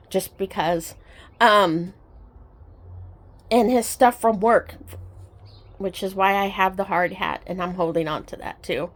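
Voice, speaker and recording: A middle-aged woman sharing personal memories in a casual, reflective, sentimental and halting way, like a vlogger talking to her viewers. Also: medium pitch. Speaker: storytelling vlogger. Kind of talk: personal reminiscing to camera, casual chat.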